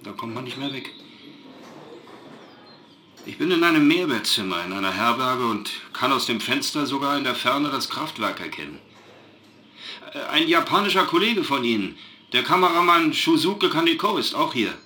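An actor playing a shaken but factual German engineer, giving an earnest, precise, halting eyewitness account in a radio drama news interview. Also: male voice, mid-range voice, big pitch swings, bright, forceful tone. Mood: anxious, controlled. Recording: noisy live news link.

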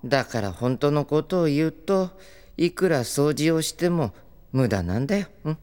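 A man plays a gentle young prince with a lightly high, very smooth voice. He sounds listless and mildly apologetic, in comedic audio drama style. His voice rings in a long hall reverb. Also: lively intonation, moderate pace, close to an everyday voice. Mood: resigned.

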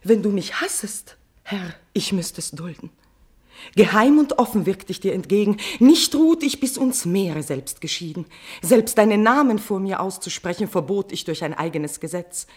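Lovesick tragic queen, medium pitch, declamatory verse, tormented passion, whispers and outbursts, classical radio drama. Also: animated, normal speed, a little mellow. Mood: pleading, bitter. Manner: impassioned declamation, sudden drops to breathy whispers and swelling outbursts.

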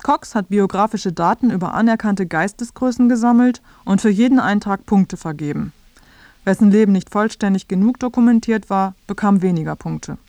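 A woman's voice: mid-range voice, normal speed, dark tone, dry recording. A woman reading scripted narration as the reporter of a radio feature.

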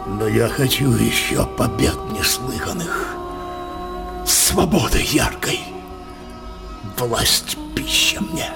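A man's voice: mid-range voice, gravelly, exaggerated voice. An actor playing a power-hungry, wrathful old king in a theatrical radio play, declaiming in an imperious, passionate way. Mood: defiant. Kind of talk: theatrical verse radio drama acting. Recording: music behind the voice.

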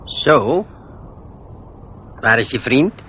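Radio drama gnome: wary old keeper of secrets, unhurried and forceful, cryptic and self-important. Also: male voice, medium pitch, expressive, a little hiss or hum, exaggerated voice. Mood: solemn, sly.

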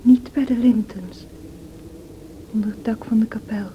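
An actress playing a feverish, wild and distraught young married woman in a radio drama, with a breathy, anguished delivery. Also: on the high side, fairly even intonation, unhurried, mellow, lightly acted. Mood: bitter, defiant. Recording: noisy old radio play recording.

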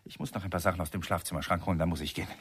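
An actor playing an anxious, evasive lover in a radio drama, tender but firm, with a husky voice. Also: male voice, sits low, fairly even intonation, very fast, fairly bright tone, a little hiss or hum. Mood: tender, apologetic.

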